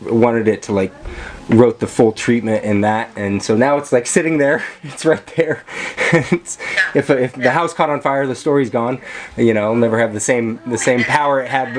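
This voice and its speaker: A man's voice: fairly low pitch, slight background noise. A man chatting casually on a video call about his film projects, rambling with many fillers and restarts. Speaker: vlogger and filmmaker. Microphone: camera mic.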